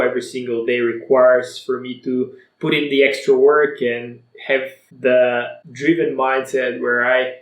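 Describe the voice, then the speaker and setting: A man in his twenties from Bulgaria, whose first language is Bulgarian, telling his personal story to camera in an energetic, motivational, conversational way. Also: medium pitch, roomy sound, rough recording. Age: 20s. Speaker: vlogger.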